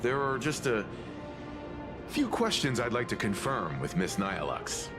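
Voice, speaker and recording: Genial, expressive mature gentleman. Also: medium pitch, over music. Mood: thoughtful. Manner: courteous, reflective, deliberate. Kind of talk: video game character dialogue.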